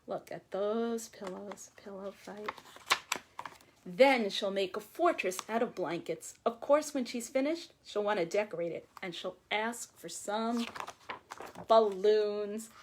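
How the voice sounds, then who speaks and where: A woman in her forties, a children's librarian reading aloud to young children with expressive, deliberate phrasing. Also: mid-range voice. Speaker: children's librarian hosting storytime. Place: small room at home or office, by window. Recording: clearly noisy home video with clicks.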